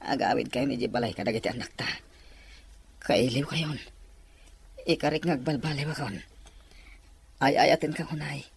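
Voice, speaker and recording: A mid-pitched actor as a remorseful husband, pleading with dramatic weight. It is radio-drama acting with faint noise in the recording. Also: male voice, moderate pace, long pauses, natural voice.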